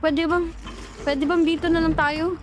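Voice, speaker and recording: A woman's voice: very high voice, flat delivery, measured pace, slight background noise. A woman voicing an exhausted young woman fleeing monsters, breathless and pleading.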